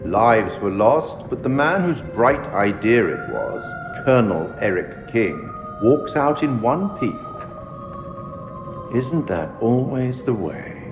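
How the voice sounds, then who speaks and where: A man's voice: medium pitch, big pitch swings. An actor playing an enigmatic, sardonic male curator in a video game, speaking formally and theatrically to the player as he judges their choices. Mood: wry, appraising. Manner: measured, formal, wryly sardonic. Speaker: narrator addressing the player. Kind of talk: theatrical scripted game narration. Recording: long hall reverb, music underneath. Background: music.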